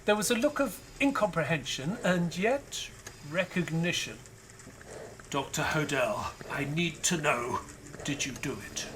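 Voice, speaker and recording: An actor playing an elderly retired doctor and author in an audio drama, narrating in a measured, solemn, wistful way. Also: male voice, a little high, lively intonation, background noise. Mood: reflective, solemn. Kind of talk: dramatic first-person scripted narration.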